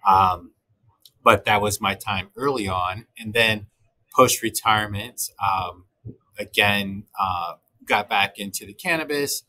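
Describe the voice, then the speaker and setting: A man's voice: fairly low pitch, takes it slowly, pushed and bright, low-quality recording. A middle-aged man from Texas, raised in Michigan, speaking as an interview guest over a video call, earnest and polite. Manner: polite, earnest, laughs easily.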